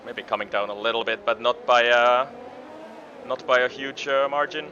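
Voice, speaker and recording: A man with a Finnish accent talks through an online race in a loose, chatty way, often stopping on a filler before going on. His voice sits at an ordinary pitch. The recording is a little dull, with steady noise behind him. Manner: relaxed, chatty, halting with fillers. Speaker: co-commentator. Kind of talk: sim racing commentary. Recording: slightly dull sound with clear background noise.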